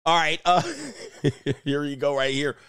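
A man's voice: fairly high pitch, fairly even intonation, unhurried, a bit bright, clear, resonant tone, no room sound, studio quality. A man giving casual, rambling reaction commentary, joking and trailing off mid-thought. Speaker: YouTube commentator.

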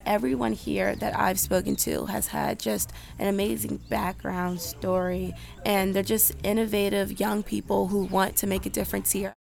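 Young woman with flat, gravelly talk. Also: mid-range voice, moderate pace. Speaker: student videographer. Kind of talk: casual interview answers.

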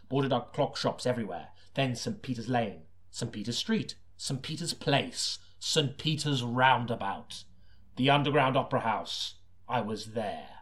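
A man playing a brooding hard-boiled detective, narrating in a grave, deadpan, portentous noir style. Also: mid-range voice.

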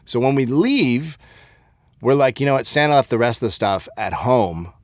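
A middle-aged American man chats as a comedy podcast co-host. His pitch swings widely as he tells stories and acts out lines, with plenty of profanity. The sound is close and dry. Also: expressive, moderate pace, no room sound. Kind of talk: casual chat and comic storytelling.

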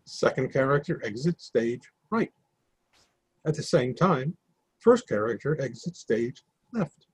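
Very breathy man reading stage directions. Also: fairly high pitch, dark tone, no echo, low-quality recording.

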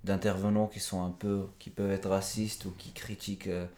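Male podcast guest, low and warm, earnest, thinks aloud at length, recorded in a roomy space. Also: level tone, normal speed. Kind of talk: conversational interview.

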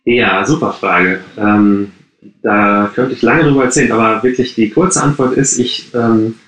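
A man's voice: lowish voice, steady tone, a little slow, a bit bright. Hesitant man with a roomy echo. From Ruhr area, Germany. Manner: hesitant, many fillers and restarts. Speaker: coworking space co-founder, podcast guest. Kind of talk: casual interview answers.

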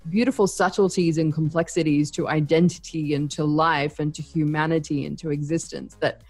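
Radio host: Australian woman, slightly low voice, measured and reflective. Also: steady tone, darkish tone, soft background music. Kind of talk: radio interview.

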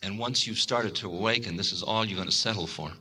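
Calm low-voiced man giving a spiritual talk. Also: fast, husky, faint music behind. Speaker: spiritual teacher. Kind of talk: recorded spiritual lecture.

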